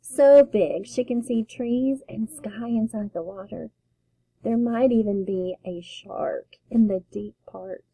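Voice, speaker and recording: A woman teacher reading a children's picture book aloud, with slow, expressive storytelling. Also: mid-range voice, slightly rough, no echo, poor audio.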